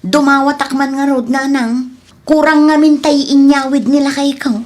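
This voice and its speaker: Brazen freeloading wife and mother, female actor, Ilocano accent, fairly high voice, radio comedy drama. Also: little pitch movement, normal speed, darkish tone, lightly acted. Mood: wheedling.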